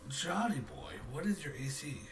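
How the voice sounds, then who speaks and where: A man improvising as game master, narrating in a halting, think-aloud way. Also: fairly high pitch, big pitch swings, distant mic, background noise.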